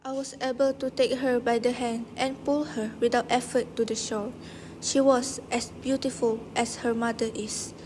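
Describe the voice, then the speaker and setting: Woman acting a troubled villager in a student play, high pitched, lots of background noise. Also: little pitch movement, normal speed, darkish tone, close to an everyday voice, low-quality recording. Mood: grave recollection. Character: troubled villager bearing tragic news. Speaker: amateur student actor. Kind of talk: stage play dialogue, recited.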